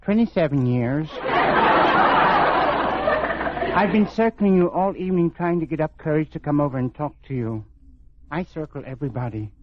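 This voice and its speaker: An actor playing a meek, self-deprecating middle-aged husband in an American radio comedy, hesitant and deadpan. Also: male voice, a little high, a little fast, crowd noise, natural voice. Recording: muffled, noisy old radio with studio audience.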